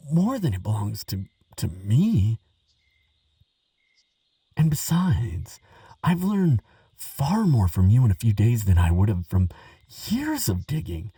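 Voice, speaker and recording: Husky, earnest archaeologist, acted by a man, lilting and unhurried. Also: medium pitch, dry recording, clean studio sound, distant mic, full character voice. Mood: gentle, sincere. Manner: stammering, musing, wonder-filled, gentle. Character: earnest, excitable archaeologist, kind to a monster friend. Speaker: audio roleplay performer. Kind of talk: relaxing scripted audio roleplay, spoken to the listener.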